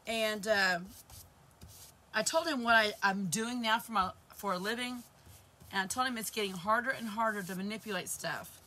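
Hoarse woman chatting on a craft livestream. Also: mid-range voice, normal speed, sharp tone. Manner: chatty, greets viewers while working with her hands. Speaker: craft channel host. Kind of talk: live crafting stream chat. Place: indoor craft room with shelves. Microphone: camera facing her across the table.

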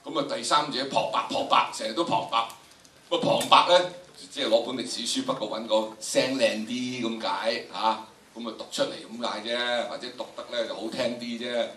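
Casting: man in his sixties, Hong Kong Cantonese, bright forceful lecturer, theatrical delivery, roomy hall sound. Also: medium pitch, fairly even intonation, moderate pace, a little hiss or hum. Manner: theatrical, emphatic, rhetorical questions. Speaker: lecturer, drama educator. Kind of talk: animated lecture to students. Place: large indoor lecture theatre. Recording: echoey lecture hall, distant camera microphone.

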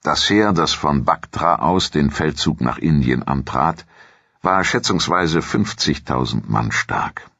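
A man reading a scripted historical documentary in a measured, dramatic storytelling manner. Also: a bass voice, expressive, vocal fry, a bit bright, breathy edge, no echo, lo-fi sound. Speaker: narrator.

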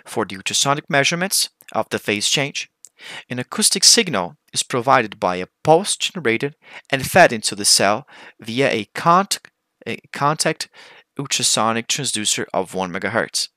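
A man's voice: mid-range voice, very animated, normal speed, sharp tone, no echo. A man with a Brazilian accent, a native Portuguese speaker, giving a formal, methodical scripted technical presentation as a researcher.

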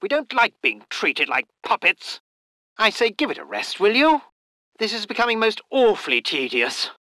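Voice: medium pitch, big pitch swings, normal speed, sharp tone, no echo. An actor voicing a posh English military aviator in a video game, with clipped, old-fashioned understatement and a stiff upper lip. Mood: bored. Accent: upper-class English. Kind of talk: video game unit voice lines.

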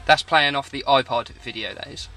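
A man with an average-pitched voice gives an informal walkthrough of equipment he has fitted. He talks off the cuff in a matter-of-fact way, recorded on a handheld camera in and around a parked car, with the car stereo clearly playing behind him. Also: normal speed, pushed and bright, soft background music, poor audio. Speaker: car audio installer presenting a demo.

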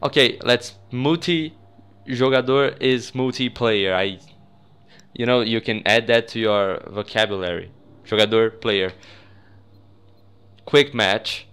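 A young man from Brazil with a Brazilian accent, a native Portuguese speaker, giving excitable, sweary, casual commentary as host of a gaming video. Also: medium pitch, slow pace, long pauses, clean tone.

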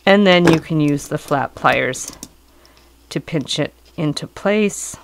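Hoarse middle-aged woman teaching a craft. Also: deep voice, slow, background noise. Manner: calm, patient, explanatory. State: hoarse from a cold. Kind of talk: step-by-step craft instruction. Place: indoors at a work table.

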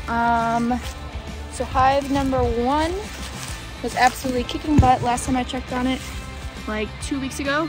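A middle-aged woman chatting casually and thinking aloud as she works outdoors in a bee yard, informal and exclamatory. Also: sits high. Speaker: beekeeping vlogger. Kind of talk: casual chat while working.

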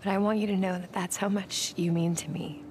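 A woman playing an earnest, devoted young bride in a video game cutscene, speaking softly and intimately. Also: mid-range voice, level tone, fairly quick, heavy background noise, natural voice. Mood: tender, earnest. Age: young adult.

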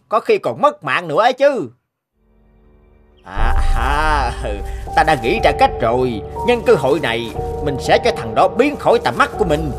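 Scheming rich villager, high and lively, gloating, cartoon music under it. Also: male voice. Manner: gloating, scheming, sneering laughs. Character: jealous rich young village man, scheming. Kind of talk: animated folk tale voice acting. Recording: music bed behind the voice.

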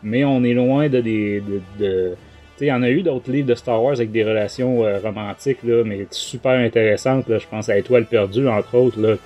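A man's voice: mid-range voice, normal speed, warm tone, lo-fi sound, soft background music. A man with a Quebec French accent hosting a casual book review podcast, talking in a hesitant way. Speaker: podcast host.

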